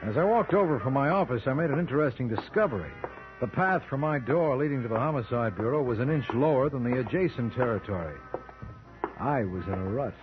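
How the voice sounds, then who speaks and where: An actor playing a wisecracking, cocky criminal lawyer in an old-time radio detective drama, with dry deadpan delivery. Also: male voice, medium pitch, fairly quick, music in the background, close to an everyday voice. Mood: wry, narrating. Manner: deadpan, sardonic quipping. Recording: noisy, muffled old radio recording.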